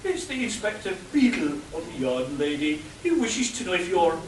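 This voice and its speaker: A man's voice: sits high, sing-song, moderate pace. A man playing a deferential, unflappable British butler with formal manners in a comic radio play. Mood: formal, obliging. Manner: formal, obliging. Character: deferential male butler, quietly smarter than employer, unflappable. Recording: roomy echo, distant mic, faint noise.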